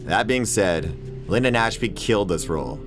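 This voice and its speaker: A man with a low voice hosts a scripted comedic video essay over clear guitar music. He is sarcastic and irreverent, with crude jokes worked into the narration. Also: animated, normal speed. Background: background music with guitar.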